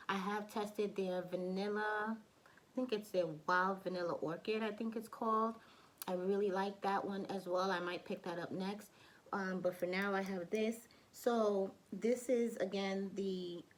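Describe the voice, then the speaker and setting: A woman talks through her fragrance picks in a loose, chatty vlog voice, full of ums and restarts. Her voice is a bit hoarse from allergies, and she coughs and clears her throat along the way. Her pitch sits in the middle for a woman. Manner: chatty, many fillers and restarts. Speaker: beauty vlogger. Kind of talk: casual product review.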